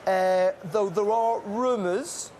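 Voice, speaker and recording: A man's voice: sits high, slow pace, a little mellow, slight background noise. A man presenting live television news, talking off the cuff with many fillers. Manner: loose, filler-heavy live delivery.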